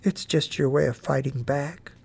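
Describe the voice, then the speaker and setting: A man doing a gentle, soothing mother. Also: mid-range voice, fairly even intonation, creaky, slightly rough. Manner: soft, slow and soothing. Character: gentle, patient mother comforting her son.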